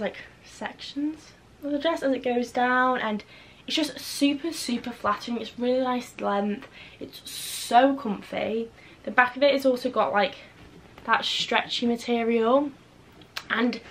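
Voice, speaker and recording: A young woman, slightly croaky from a chest infection, chatting casually and rambling with lots of fillers as a fashion vlogger in her bedroom. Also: a little high, a bit bright. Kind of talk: casual chat. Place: bedroom, indoors.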